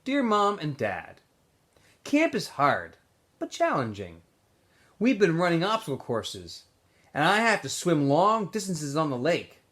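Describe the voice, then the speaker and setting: Man voicing a guarded teenage boy, lifted pitch. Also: huge pitch swings, measured pace, fairly bright tone, heavily acted. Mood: earnest, reassuring. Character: weary teenage boy, guarded and easily irritated.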